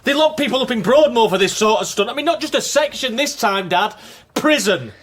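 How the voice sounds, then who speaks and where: A man's voice: very high voice, expressive, brisk, fairly bright tone, full character voice. An actor with a British accent playing a hurt grown son in a radio drama, pressing his father with emotional questions. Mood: exasperated.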